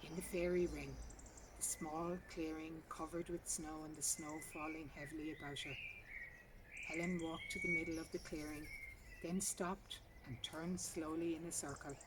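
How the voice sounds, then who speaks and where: Female story reader, lower register, measured and sombre; garden recording with prominent birdsong. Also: level tone, very noisy. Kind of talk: literary story reading. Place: outdoors in a garden. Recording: outdoor garden recording, distant mic, birdsong.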